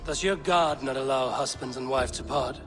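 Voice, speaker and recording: An actor playing a stalwart Viking warrior in a video game, plain-spoken, terse and firm. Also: male voice, mid-range voice, moderate pace, fairly bright tone, lightly acted. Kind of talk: video game cutscene acting. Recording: prominent music behind the voice.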